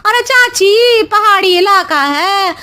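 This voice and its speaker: A woman's voice: takes it slowly, sharp tone, heavily acted. A woman voicing a jealous, meddling elder sister-in-law in a family tale, wheedling and nagging. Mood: needling, whining. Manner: shrill, wheedling, nagging, exaggerated alarm.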